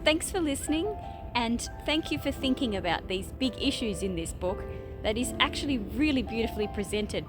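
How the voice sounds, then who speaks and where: Kids story host lady, kinda high voice, super animated, explains stuff simply, music loud behind her. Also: normal speed. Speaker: host of children's story read-aloud show. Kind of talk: simple explaining to young listeners.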